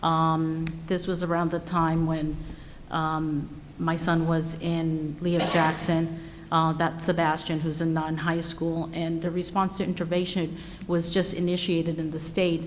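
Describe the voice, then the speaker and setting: A woman with a lowish voice speaks haltingly, filling her pauses with ums. Her voice carries a long hall reverb, with faint room noise behind it in a large cafeteria. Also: little pitch movement. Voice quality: hesitant, many ums. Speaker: school board candidate. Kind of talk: candidate speech. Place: school cafeteria.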